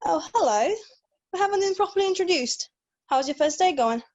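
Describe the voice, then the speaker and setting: A woman's voice: extremely high pitch, animated, fairly quick, darkish tone, no echo, highs cut off. A woman reading the part of a pushy, needling newspaper editor in his late fifties at an amateur script read. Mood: breezy, smug.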